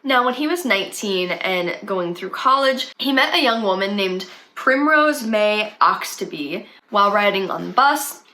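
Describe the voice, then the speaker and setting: A woman's voice: medium pitch, animated, a little slow, few pauses, bright, forceful tone, poor audio. A young woman with a slight cold, chatting casually as she tells a true crime story for her channel. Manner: chatty, conversational with fillers. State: has a cold, sniffly.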